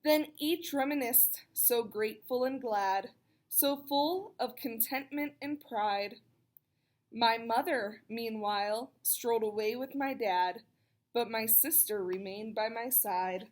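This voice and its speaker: A woman reading a children's picture book aloud, expressive and chatty, with casual explanatory asides for young listeners. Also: a little high, slow pace. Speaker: read-aloud storyteller.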